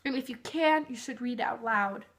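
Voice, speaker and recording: A woman's voice: a little high, moderate pace, a bit bright. A young woman from Norway, a native Norwegian speaker, giving casual tips in a chatty vlog full of fillers. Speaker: vlogger.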